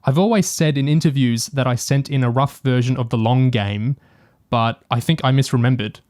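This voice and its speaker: Male podcast co-host, medium pitch, conversational and discursive, detail-minded. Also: normal speed, a little mellow. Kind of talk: casual discussion and review, reading out quotes.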